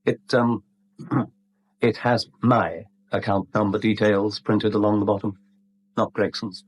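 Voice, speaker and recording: A man's voice: fairly low pitch, flat delivery, slow, a little hiss or hum. A man acting a smug, breezy British confidence trickster in a 1970s radio drama, jaunty, sly and condescending. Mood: gleeful, scheming.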